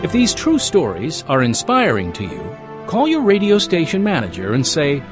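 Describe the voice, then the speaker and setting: Lively-pitched male radio announcer. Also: normal speed, music in the background. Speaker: program announcer and host.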